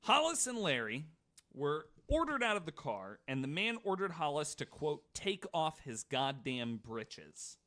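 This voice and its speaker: A man with a bright, forceful voice and a lively, swinging pitch. He narrates true crime as a podcast host in a casual, joking way, swearing freely as he banters. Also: a little slow. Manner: profane, joking.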